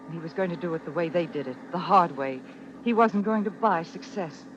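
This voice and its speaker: A woman acting a grieving, lovesick, anxious widow in old-time radio drama, with halting, melodramatic delivery. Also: fairly low pitch, animated, lo-fi sound, noisy background, close to an everyday voice. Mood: subdued, evasive. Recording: old radio recording with music. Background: dramatic music.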